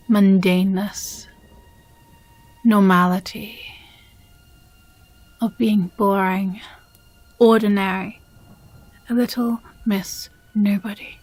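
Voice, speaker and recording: A woman playing a possessive, jealous demon girlfriend in an intimate audio roleplay, breathy and often whispering, with condescending sweetness. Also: mid-range voice, no echo. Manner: slow, deliberate, sighing, condescendingly sweet.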